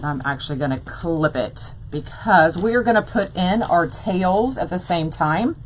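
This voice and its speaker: A woman leads a live craft lesson in a ribbon-filled craft room. She talks slowly and casually in a lowish voice. She chats with her viewers between steps. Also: animated, slight background noise. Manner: chatty, says "y'all", answers live chat. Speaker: wreath-making tutorial host.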